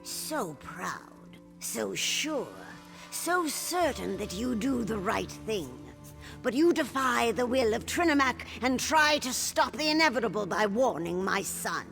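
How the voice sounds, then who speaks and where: Gravelly, scheming old orc matriarch. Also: female voice, medium pitch, lively intonation. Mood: mocking menace. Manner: measured, mocking, menacing. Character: scheming older orc queen mother, cold and condescending. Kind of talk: fantasy video game voice acting. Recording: music playing clearly behind the voice.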